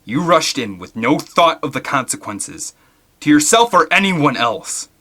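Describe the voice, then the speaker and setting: A man's voice: on the high side, big pitch swings, measured pace, sharp tone, pitched above the performer's own voice, exaggerated voice. A man voicing a stern, commanding paleontologist in an audiobook, grave, blunt and brooding. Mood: angry.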